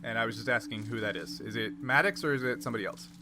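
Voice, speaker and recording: A man's voice: mid-range voice, very fast, background noise. A man running a tabletop role-playing game, narrating and explaining rules off the cuff. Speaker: game master.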